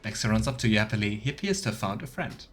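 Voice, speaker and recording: A man's voice: lowish voice, animated, racing, breathy edge. A man playing through a fantasy game as a streamer, reading dialogue aloud and commenting casually. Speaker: streamer, let's player.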